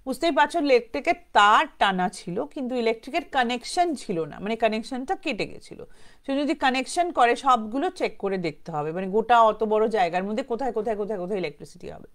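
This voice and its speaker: A woman tells a ghost story informally, in a rambling conversational way with English words mixed in. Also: on the high side. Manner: conversational, rambling, mixes in English words, acts out quotes lightly.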